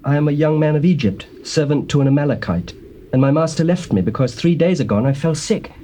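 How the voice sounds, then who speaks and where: A young Egyptian servant as a male actor plays him, fearful and earnest. His voice sits fairly high for a man but has a dark, soft tone. It is a biblical audio drama on slightly hissy cassette. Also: expressive, a little fast, close to an everyday voice. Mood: submissive, explaining. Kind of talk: dramatized scripture acting. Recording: hissy old cassette tape recording.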